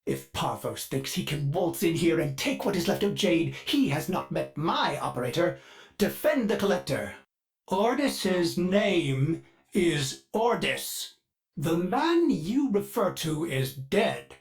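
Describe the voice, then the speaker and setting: A man's voice: fairly high pitch, big pitch swings, slow pace, exaggerated voice. An actor playing a courteous, doting male ship AI who speaks of himself in the third person, with glitchy stutters, through a synthetic filter. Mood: dutiful. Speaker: ship's onboard assistant. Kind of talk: video game voice lines.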